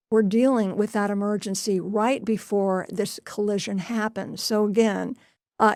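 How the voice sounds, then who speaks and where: A woman delivers a news report in a mid-range voice. She explains things deliberately and often restates her points. The recording is dry, with very little room sound. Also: mid-range voice, a little mellow, no room sound. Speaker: transportation news correspondent.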